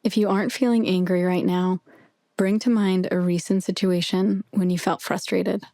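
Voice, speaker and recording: Woman, guided meditation, gentle measured delivery, slightly low pitch, very dry close sound. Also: flat delivery, no room sound.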